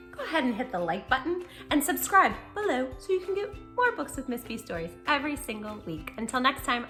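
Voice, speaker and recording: A woman's voice: on the high side, bright, forceful tone. A woman hosting a children's story read-aloud, speaking expressively and affectionately straight to her young listeners. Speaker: children's storybook reader and host.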